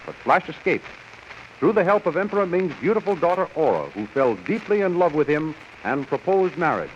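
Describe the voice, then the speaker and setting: Animated, high-pitched vintage American radio announcer man. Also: a little fast. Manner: dramatic, declamatory, formal enunciation. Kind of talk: 1930s radio serial announcing, scripted narration. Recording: dull, noisy vintage radio recording.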